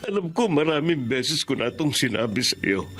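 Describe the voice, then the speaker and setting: A man's voice: medium pitch, sing-song, fairly quick, a bit bright. An actor playing a grieving, devoted husband and father in an emotional, pleading Tagalog radio drama style. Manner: pleading, tearful, heavily emotional.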